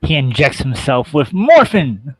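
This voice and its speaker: A man's voice: medium pitch, big pitch swings, measured pace, poor audio. A man narrating a horror play, reading stage directions in a terse, theatrical way.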